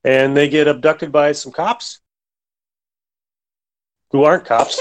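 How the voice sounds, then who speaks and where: A man's voice: fairly high pitch, fairly even intonation, takes it slowly. A man hosting a podcast in casual, unscripted chat. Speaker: podcast host.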